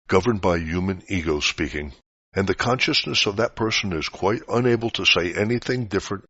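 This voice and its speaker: A man reading a scripted spiritual teaching aloud in a measured, didactic way. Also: low voice, a bit bright. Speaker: reader of spiritual articles. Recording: dull, roomy lo-fi recording.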